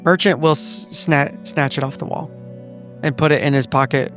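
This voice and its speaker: A man's voice: a little high, steady tone, normal speed, a bit bright, soft background music. A man playing a tabletop game, narrating his own character's actions in the third person.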